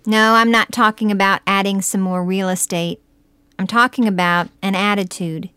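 Clear, smooth woman reading a reflective essay. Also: medium pitch, fairly even intonation, normal speed, pushed and bright, no room sound. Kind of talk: scripted spoken essay, folksy reflective narration.

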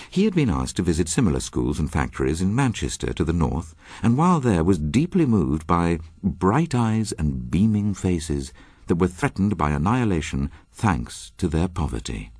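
A man's voice: low-pitched, darkish tone, husky, no room sound, clean studio sound. A man introducing a radio drama with scripted narration in a solemn, dramatic storytelling manner.

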